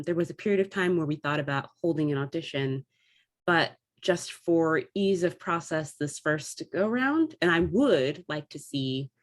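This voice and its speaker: Female interview guest, low register, articulate and conversational, explanatory delivery. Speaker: theatre artistic director and actor, interview guest.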